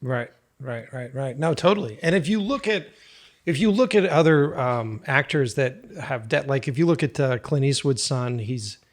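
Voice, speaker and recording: A man's voice: mid-range voice, expressive, moderate pace. A man hosting a casual podcast interview, talking bluntly, swearing freely and using lots of fillers. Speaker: podcast host.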